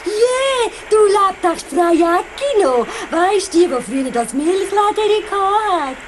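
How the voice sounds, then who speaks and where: A woman's voice: very high-pitched, sing-song, measured pace, noisy background, full character voice. A woman playing a chatty, nosy older village passenger in a Swiss German children's radio play. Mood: chatty, fussy. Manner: gossipy, fussy, insistent.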